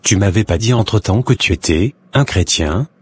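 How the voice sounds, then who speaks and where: A preachy, wise neighbor. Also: male voice, fairly low pitch, expressive, normal speed, breathy edge. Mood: reproachful. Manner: earnest, preachy, counseling.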